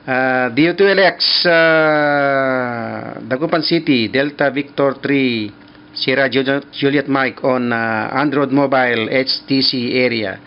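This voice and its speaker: A man with a Filipino accent making casual ham radio contact, halting as he searches for words. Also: mid-range voice. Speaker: amateur radio operator. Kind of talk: casual ham radio chat with call signs. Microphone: mobile phone. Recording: muffled, noisy mobile phone capture.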